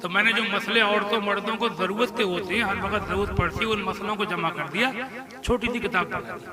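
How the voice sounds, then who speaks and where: High-pitched man preaching. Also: a little fast. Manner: conversational, checks listeners understand, mimics others' scolding. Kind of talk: colloquial Islamic sermon.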